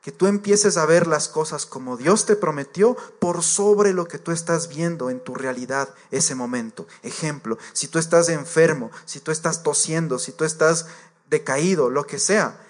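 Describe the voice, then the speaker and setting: A man's voice: fairly high pitch, moderate pace. A man preaching a sermon to a congregation, earnest and direct, repeating his points and addressing the listeners as believers.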